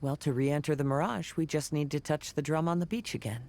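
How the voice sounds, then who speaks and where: A woman playing a calm, curious and observant young traveler in a video game, musing as she speaks. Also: low voice, brisk, a little hiss or hum.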